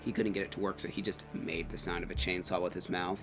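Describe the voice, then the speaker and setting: A man's voice: deep voice, flat delivery, fast, fairly bright tone. A man co-hosting a casual, conversational review, rambling through stories with quoted asides. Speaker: co-host of a haunted attraction review. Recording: music under the talk.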